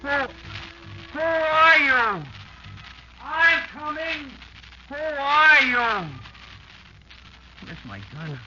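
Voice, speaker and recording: A man's voice: very high-pitched, lively intonation, bright, forceful tone, heavily acted. A man playing a delirious, paranoid, feverish man in a radio horror drama, rambling fearfully. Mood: feverish dread. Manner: rambling, fearful, drawn-out muttering and cries. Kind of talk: old-time radio horror drama acting. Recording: dull lo-fi old radio, music behind.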